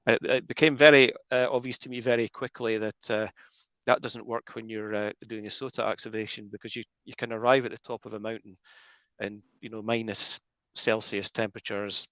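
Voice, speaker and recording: Scottish guest, lowish voice, chatty, dull dry call audio. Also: male voice, level tone, brisk, fairly bright tone, dry recording. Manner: chatty, restarts often, explains at length. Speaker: guest radio amateur. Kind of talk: casual video call chat.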